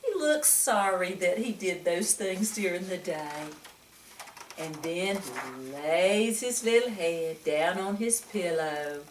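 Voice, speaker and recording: A woman's voice: fairly low pitch, animated, slow pace, slight background noise. A woman reading a picture book aloud to young children, expressively, with exclamations and frequent questions to the listener. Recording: echoey room, distant microphone.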